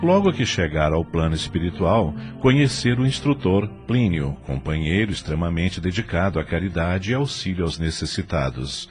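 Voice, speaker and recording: A man's voice: low-pitched, sing-song, normal speed, hardly any pauses, music in the background. A man with a Brazilian Portuguese accent giving solemn, scripted storytelling narration for an audio drama.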